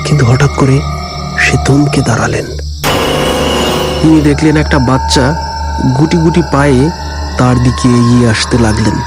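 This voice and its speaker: A man's voice: medium pitch, normal speed, long pauses. Male ghost story narrator over music.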